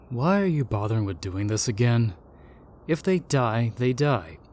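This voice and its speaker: A man's voice: mid-range voice, sing-song, takes it slowly, darkish tone, a little hiss or hum. A man playing a jaded, indifferent, snobbish ghost in a comedic audio roleplay, dry, aloof and sardonic. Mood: bored, sardonic.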